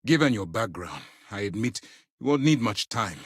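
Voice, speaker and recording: An actor playing a stern, commanding space military commander in a video game, speaking in a formal, measured, authoritative way. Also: male voice, on the low side, animated, breathy edge, no room sound, studio quality. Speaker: fleet commander.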